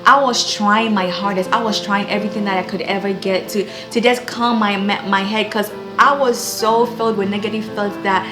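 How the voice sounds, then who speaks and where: A woman's voice: mid-range voice, steady tone, moderate pace, hardly any pauses. A young woman with a Ghanaian accent giving an earnest, encouraging personal faith testimony. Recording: echoey room with background music.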